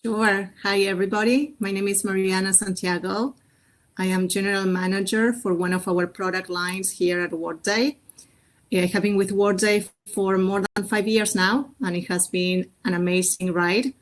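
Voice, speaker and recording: Middle-aged female panelist from Argentina, medium pitch, conversational professional delivery. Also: steady tone, normal speed. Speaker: panelist, general manager. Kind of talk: conversational self-introduction.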